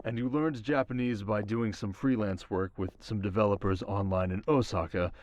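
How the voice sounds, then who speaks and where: A man playing a stern, demanding middle-aged rich businessman father in an audio roleplay, speaking in a measured, formal, commanding way. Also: lowish voice, steady tone, darkish tone, a little hiss or hum, natural voice. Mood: measured, appraising.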